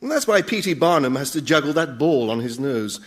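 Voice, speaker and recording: An actor playing an officious demon guide to Hell in a radio comedy, with chirpy patter and easily hurt pride. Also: male voice, fairly high pitch, very animated, fairly quick. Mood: cheerfully explaining. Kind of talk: radio sitcom acting.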